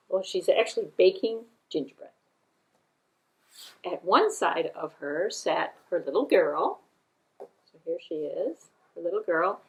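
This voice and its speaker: A woman puppeteer telling a children's story in an animated, deliberate way, with repetition and conversational asides. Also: medium pitch, low-quality recording.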